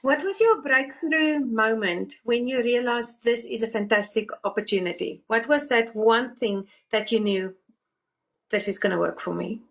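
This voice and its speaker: A woman's voice: a little high, normal speed, gravelly, a bit bright. A woman interviewing a fellow marketer in a casual conversation over a video call, supportive and encouraging. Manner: supportive, short affirmations.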